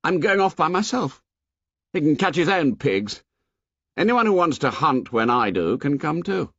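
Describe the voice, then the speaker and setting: A man voicing an angry, humiliated boy who leads a band of hunters, talking loudly and on the verge of tears. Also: on the high side, big pitch swings, normal speed, no echo, highs cut off, voice pushed up, heavily acted. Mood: defiant. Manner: loud, shouting, tearful.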